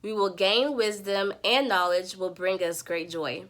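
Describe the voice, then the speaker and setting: A woman's voice: mid-range voice, fairly even intonation, a little slow, fairly bright tone. A woman who sounds congested from a sinus infection, earnestly summarizing scripture in a devotional vlog. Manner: earnest, preacherly teaching. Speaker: Bible study vlogger.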